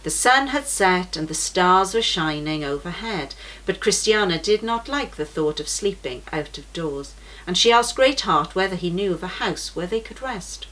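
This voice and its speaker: Woman reading a kids' story aloud, gentle and measured, lowish voice. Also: animated, low-quality recording.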